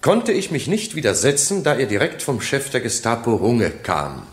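Actor as animated, insistent public prosecutor. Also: male voice, medium pitch, moderate pace, lightly acted. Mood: probing. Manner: formal, insistent, incisive. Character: pointed, skeptical male public prosecutor. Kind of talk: German radio courtroom drama acting.

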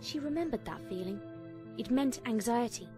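A woman's voice: fairly high pitch, lively intonation, normal speed, over music. A woman reading a scripted story as a dramatic fan fiction narrator.

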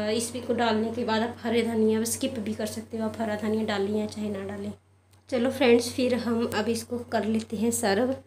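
A woman's voice: a little high, darkish tone, roomy sound, a little hiss or hum. A woman hosting a home cooking channel, talking viewers through a recipe in a casual, friendly way. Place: home kitchen.

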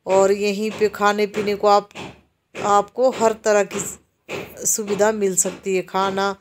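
A woman from Himachal Pradesh narrates a walking tour through a busy town market. She speaks slowly and unhurriedly, her voice staying flat with little rise and fall. She explains the shops to viewers as she films on a handheld camera. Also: medium pitch, a little hiss or hum. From Himachal Pradesh, India. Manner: slow, even-toned, explaining to viewers. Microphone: handheld camera while walking.

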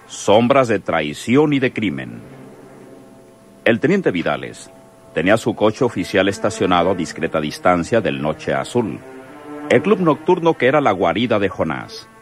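A man narrates a radio serial in a florid, theatrical manner. His deep voice moves with a lively, dramatic range over faint music.

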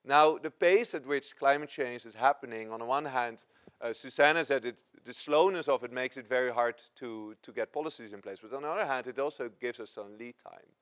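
A man, answering on a conference panel with lively gestures and frequent restarts. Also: mid-range voice, brisk. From Netherlands. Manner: animated, gesturing, many restarts. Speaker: panel scientist. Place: conference stage, seated in armchair. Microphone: lapel.

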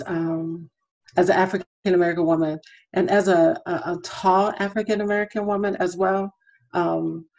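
A middle-aged woman speaking as a counselor on a panel over a video call from home. She speaks in a measured way with many fillers. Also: lowish voice, a little mellow. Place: room at home. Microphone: laptop webcam on a video call. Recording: muffled video call audio.

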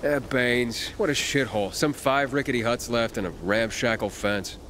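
An actor playing a wry, easygoing young pilgrim in a video game, speaking casually and laconically. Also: male voice, mid-range voice, normal speed, fairly bright tone, slight background noise, natural voice. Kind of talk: video game dialogue acting.

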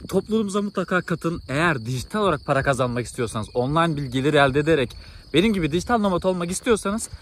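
A man, chatting informally to camera as a travel vlogger outdoors. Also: on the high side. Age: 20s. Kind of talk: casual chat to camera. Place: outdoors on trails and in a village. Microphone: phone or action camera at arm's length. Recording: outdoor ambience and background noise.

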